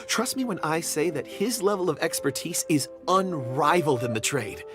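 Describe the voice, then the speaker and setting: Eager game gentleman, male actor: effusive, courteous, admiring, lively melodic delivery over music. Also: on the high side, normal speed, lightly acted. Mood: effusive, earnest. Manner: effusive, polite. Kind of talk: video game voice acting. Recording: music clearly under the voice.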